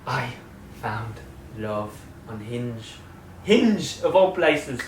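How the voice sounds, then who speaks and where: A man hosting his own channel, chatting through a casual update in a playful, theatrical way that jumps between loud and quiet. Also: mid-range voice, animated, drawn-out words. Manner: slips into a put-on British-style accent at times. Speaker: channel host. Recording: roomy, distant mic, faint room noise.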